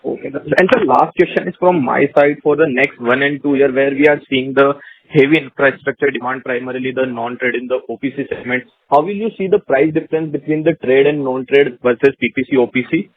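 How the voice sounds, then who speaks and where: A man's voice: mid-range voice, brisk. A man with an Indian accent deferentially asking questions as a financial analyst on an earnings call. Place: phone call. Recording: dry, tinny phone line.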